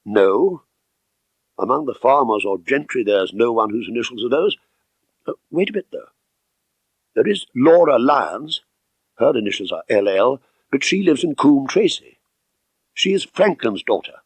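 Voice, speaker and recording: A man's voice: mid-range voice, sing-song, unhurried, pauses often, slightly rough, dry recording. A man voicing a genial country doctor in an audiobook, thoughtful and conversational as he passes on local knowledge. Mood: musing, gossipy. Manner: musing, conversational. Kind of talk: audiobook character dialogue.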